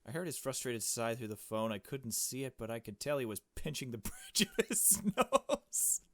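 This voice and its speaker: A man's voice: mid-range voice, sing-song, normal speed, a bit bright, a little hiss or hum. A man reading a visual novel aloud and commenting casually in a slangy, informal way. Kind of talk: casual gameplay commentary and narration.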